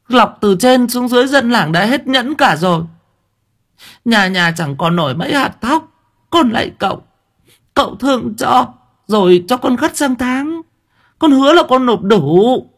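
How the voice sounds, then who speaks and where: A man's voice: extremely high pitch, a bit bright, pitched above the performer's own voice, full character voice. A man voicing a poor elderly peasant woman who stammers and begs tearfully.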